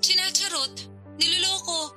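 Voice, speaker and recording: A woman's voice: very high voice, fairly even intonation, unhurried, pushed and bright, faint music behind, full character voice. An actress playing a pushy, sarcastic older sister from the province in a radio drama, needling her brother for money. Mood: sarcastic, teasing.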